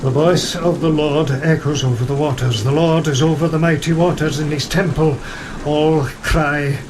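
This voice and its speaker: Rain and thunder surround a man acting a haunted old ship's captain. He is grave and devout, speaking with slow, commanding weight in a gothic radio play. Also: on the high side, steady tone, background noise, natural voice. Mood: brooding. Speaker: actor in audio drama. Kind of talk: gothic horror audio drama acting. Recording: storm rain and thunder over voice.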